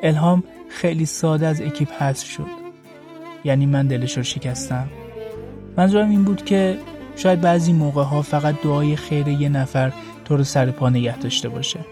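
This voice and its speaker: A man's voice: on the high side, fairly even intonation. A man reading a scripted first-person story as a radio serial narrator. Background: background music.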